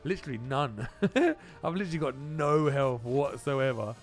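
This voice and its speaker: A man giving excited video game commentary, repeating phrases and exclaiming in informal slang. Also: medium pitch, measured pace. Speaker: gaming channel host. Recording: music behind the voice.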